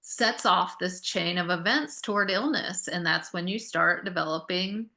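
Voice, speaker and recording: A woman's voice: fairly low pitch, measured pace, creaky, pushed and bright. A woman guest on a talk show, earnestly explaining food and health with emphatic lists. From United States. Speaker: guest nutrition expert. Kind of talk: interview conversation.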